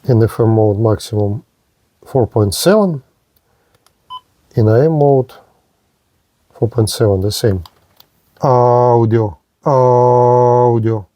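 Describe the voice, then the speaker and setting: A man giving a hands-on demonstration of gear as a product reviewer, speaking in short, halting phrases. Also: medium pitch, warm tone, no room sound.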